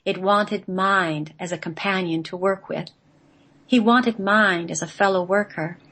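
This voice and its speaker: A woman reading radio play narration in a measured, solemn manner. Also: mid-range voice, steady tone, a bit bright. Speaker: guest, playwright reading own play.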